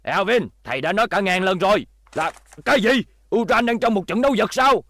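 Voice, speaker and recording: A man's voice: high voice, expressive, fairly quick, fairly bright tone, full character voice. A man voicing an older male teacher, scolding but caring, in a dubbed children's cartoon. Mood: stern, scolding.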